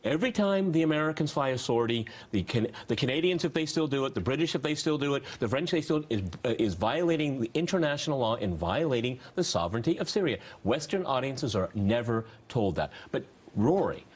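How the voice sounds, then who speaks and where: A man's voice: medium pitch, very animated, a little fast, hardly any pauses. A man hosting and moderating a panel discussion in an emphatic, argumentative way. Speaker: talk show host and moderator.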